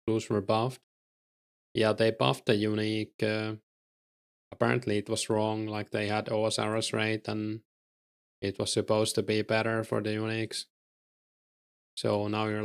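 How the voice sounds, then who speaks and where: Low, flat-voiced Finnish man, gravelly. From Finland. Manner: relaxed, reads and answers chat while playing, with fillers. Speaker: game streamer. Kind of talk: casual stream chat while gaming.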